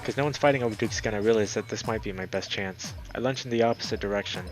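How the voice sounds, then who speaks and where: A man streaming a let's play, reading a visual novel aloud in a dramatic, excitable way, sometimes stumbling over words. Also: on the low side, fast talker. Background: background music.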